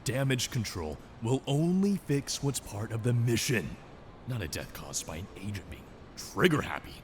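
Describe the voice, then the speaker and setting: A man's voice: medium pitch, very animated, moderate pace, a little hiss or hum, natural voice. A man playing an indignant, sarcastic government agency official in a drama, confrontational in manner. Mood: scornful. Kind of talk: dramatic dialogue.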